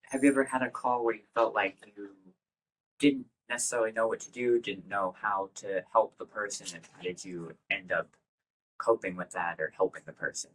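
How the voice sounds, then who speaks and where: A man's voice: fairly low pitch, fairly even intonation, moderate pace, fairly bright tone, slightly rough, low-quality recording. A man acting as interview host, putting prepared questions to a guest.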